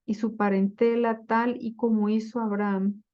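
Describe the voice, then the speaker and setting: A woman giving a slide-based lecture on Bible translation, speaking deliberately with frequent fillers and self-corrections. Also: fairly high pitch, level tone, dull audio.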